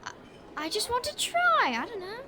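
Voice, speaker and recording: Cheeky, impulsive young pickpocket, very high and animated, comic audio drama. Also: female voice, moderate pace, background noise. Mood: eager, halting. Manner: playful, cheeky, theatrical. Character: Ruby, cheeky, impulsive young female pickpocket, barely literate.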